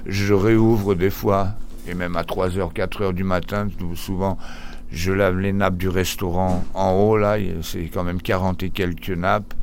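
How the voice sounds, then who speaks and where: A man's voice: deep voice, level tone, measured pace, a little hiss or hum. A man who runs a laundromat, chatting casually in an interview, often stumbling and repeating words. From Portugal. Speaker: laundromat owner.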